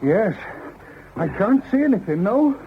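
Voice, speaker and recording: An actor playing a hesitant, deferential police subordinate in a radio crime drama, matter-of-fact and reticent. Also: male voice, high-pitched, very animated, a little slow, exaggerated voice. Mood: obliging. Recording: muffled radio drama recording, clear noise.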